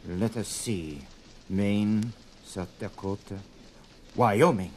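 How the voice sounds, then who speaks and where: An actor playing the thoughtful leader of a secret research team in a dramatised story record. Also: male voice, lowish voice, slow pace. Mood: serious, probing.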